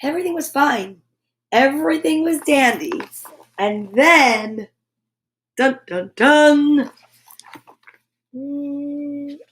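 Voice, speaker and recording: A woman hosting children's storytime, reading a picture book aloud with playful, drawn-out sound effects and repeated words. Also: sits high, fairly bright tone, slight background noise.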